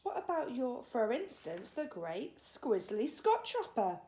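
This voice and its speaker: A woman's voice: fairly high pitch, very animated, measured pace, dark tone. A woman voicing a big, friendly old male giant from a children's storybook, speaking emphatically in mangled grammar with invented words. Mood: teasing. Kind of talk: children's storybook character reading.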